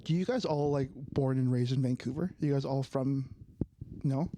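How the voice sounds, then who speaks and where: A man's voice: mid-range voice, normal speed, warm tone, a little hiss or hum. A man hosting a podcast, easygoing and agreeable, often chiming in with yeahs. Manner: easygoing, frequent agreeing yeahs, laughs along. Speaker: podcast host. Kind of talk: casual podcast chat.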